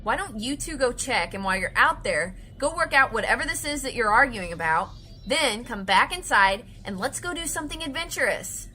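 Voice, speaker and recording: A woman's voice: a little high, expressive, a little fast, few pauses, bright, forceful tone, soft background music. A woman playing an eager, forthright young female adventuring companion in an audio comedy, insistent and outspoken. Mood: scolding.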